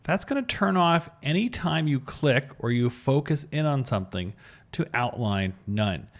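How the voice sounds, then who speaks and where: Guy doing a laid-back screencast how-to, medium pitch, kinda thinking out loud step by step. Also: expressive, unhurried. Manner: informal, thinks aloud while demonstrating. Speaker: tutorial presenter, web designer. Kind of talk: casual step-by-step screencast narration.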